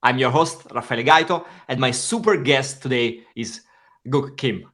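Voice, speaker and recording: A man hosting a podcast interview, friendly and halting, with many fillers. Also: mid-range voice, a bit bright. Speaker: podcast host.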